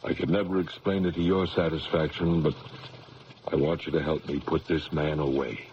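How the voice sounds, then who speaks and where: A man's voice: very deep voice, flat delivery, normal speed. An actor playing a determined male judge in a radio drama, earnest, formal and resolute. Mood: grave, determined. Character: grave, determined male judge bent on justice. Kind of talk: radio drama acting with first-person narration. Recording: muffled, noisy old radio recording.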